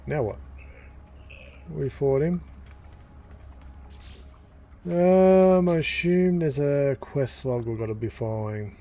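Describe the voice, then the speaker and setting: Slow, mellow-voiced gaming commentator. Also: male voice, fairly high pitch. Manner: thinking aloud, unhurried, with fillers. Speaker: gaming YouTuber. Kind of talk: casual let's-play commentary.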